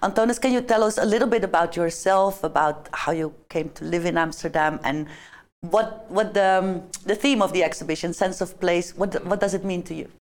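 Woman moderator, lowish voice, hesitant and friendly questions. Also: a little fast. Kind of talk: moderating a panel talk.

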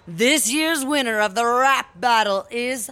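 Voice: mid-range voice, unhurried, close to an everyday voice. A performer playing a formal contest announcer, making a ceremonial proclamation in an audio drama over noisy ambience.

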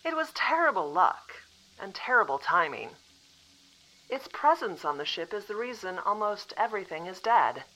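A woman acting a composed female official from the future in an audio drama, calmly apologetic and matter-of-fact. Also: mid-range voice, moderate pace, low-quality recording.

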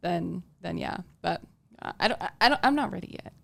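A woman's voice: on the low side, expressive, a little fast, creaky. A woman from Tonga whose first language is Tongan, chatting casually as a podcast guest, with plenty of fillers. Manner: chatty, many fillers like "like" and "you know". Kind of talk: casual interview chat.

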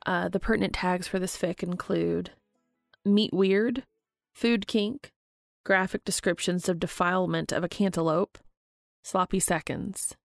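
A woman reads fiction aloud as a podcast host and narrator. Her voice sits fairly low, with plenty of vocal fry. The sound is close and very dry. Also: steady tone, unhurried, dry recording, soft background music. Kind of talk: scripted audiobook-style narration of fan fiction.

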